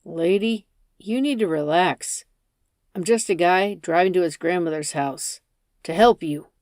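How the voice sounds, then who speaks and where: A woman reader as male driver, casual, reassuring, slow-paced, dry recording, audiobook dialogue. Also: fairly low pitch, darkish tone, no echo, natural voice. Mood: amused. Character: easygoing male driver, cocky, turning nervous.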